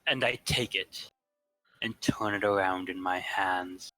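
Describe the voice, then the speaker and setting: A man reading the story narration in a plain, steady way. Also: sits low, slow pace, no echo, soft background music.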